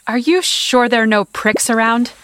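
A woman's voice: on the high side, normal speed, a bit bright, a little hiss or hum, close to an everyday voice. An actress playing an anxious, earnest young Puritan woman accused of witchcraft, slightly formal, in an audio drama. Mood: anxious, hopeful. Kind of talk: audio theatre acting.

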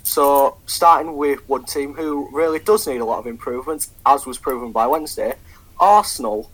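A man's voice: mid-range voice, moderate pace, a bit bright, low-quality recording. A young man co-hosting a casual football podcast, swearing a lot and rambling with many ums. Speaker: podcast co-host.

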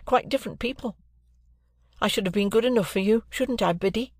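A man's voice: high voice, fairly quick, dry recording, studio quality, voice pushed up. A man doing an earnest, restless young man. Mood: wistful. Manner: earnest and impatient.

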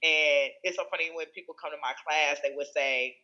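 A woman with a Chicago accent tells stories in an interview, her voice bright and forceful. She talks in an animated way, laughs often and restarts her sentences. The sound is a little dull and lo-fi. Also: low-pitched, a little fast. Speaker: school executive director. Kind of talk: conversational interview storytelling.

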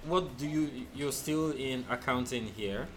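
A man hosting a podcast in casual chat, asking relaxed questions with lots of fillers and hesitations. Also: mid-range voice, unhurried, a crowd in the background. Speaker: podcast host.

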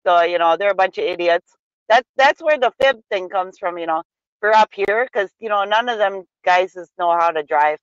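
A woman's voice: mid-range voice, normal speed, no room sound, muffled recording. A woman chatting casually with a friend, teasing, laughing a lot and cracking crude jokes, at times putting on a folksy Midwestern accent. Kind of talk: casual chat.

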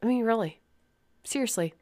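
A woman's voice: a little high, animated, moderate pace, warm tone. A woman hosting a casual solo podcast, scratchy and sniffly from a head cold, candid and rambling, swearing freely. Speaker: podcast host.

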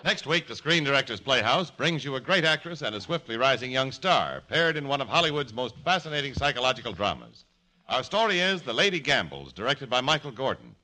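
A man's voice: mid-range voice, sing-song, brisk, sharp tone. An American man announcing in 1950s network radio style, heard on a noisy old broadcast recording.

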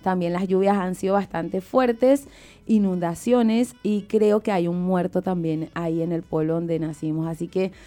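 A woman's voice: lowish voice, fairly even intonation, a little slow, mellow. A woman co-hosting live radio, chatting casually and descriptively to the audience. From Venezuela. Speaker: radio co-host.

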